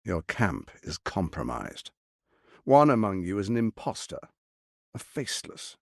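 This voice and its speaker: A scheming male archduke for a video game, his delivery swinging dramatically from hushed lows to soaring highs. There is a gravelly creak under the silky, pompous menace. The recording is close and dry, every phrase placed with theatrical relish. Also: very animated, a little slow, no echo, heavily acted. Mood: urgent, persuasive. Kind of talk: video game character acting.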